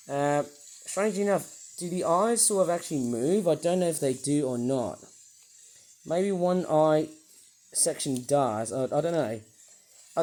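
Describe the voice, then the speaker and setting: High-voiced croaky British man. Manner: rambling, enthusiastic, many hesitations. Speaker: toy reviewer. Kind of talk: casual toy review.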